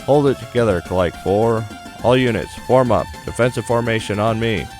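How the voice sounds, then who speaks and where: A man's voice: medium pitch, normal speed, darkish tone, soft background music, pitched above the performer's own voice, lightly acted. A man voicing a stern female squadron commander who barks clipped orders in a visual novel game. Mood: stern, urgent.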